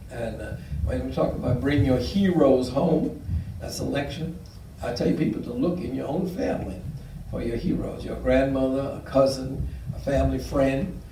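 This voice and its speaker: An older man speaks to an audience in a talk that rambles, full of fillers and restarts. His voice is gravelly and mellow. He was picked up from a distance in an echoey room with faint noise. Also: mid-range voice. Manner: warm, rambling storyteller with frequent fillers. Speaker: guest speaker. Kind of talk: public talk with audience questions.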